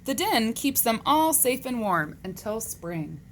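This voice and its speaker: Female children's read-aloud storyteller. Expressive, swooping intonation, leisurely delivery, question-and-answer style for little listeners, slightly noisy recording. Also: a little high, a little slow. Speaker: storyteller reading a children's picture book aloud.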